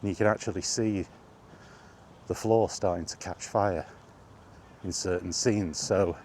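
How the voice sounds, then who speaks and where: A man chatting casually on his own, enthusiastic but halting, with frequent ums and restarts. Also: deep voice, steady tone, darkish tone, slight background noise. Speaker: vlogger. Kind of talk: casual rambling monologue. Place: outdoors, walking.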